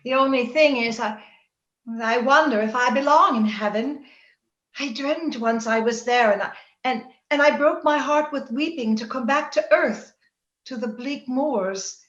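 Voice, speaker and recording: A woman playing a proud, willful young gentlewoman torn by romance, theatrical and emotional in old-time radio drama style. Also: sits high, unhurried. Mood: wistful, torn. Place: indoor room, recorded remotely over video call. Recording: roomy, dull video-call recording.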